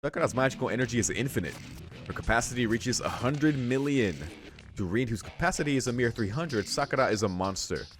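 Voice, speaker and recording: A man's voice: mid-range voice, lively intonation, a little fast, faint music behind. A man reading game text aloud and adding informal, exclamatory reaction commentary as a let's play host. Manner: informal, exclamatory asides between read passages.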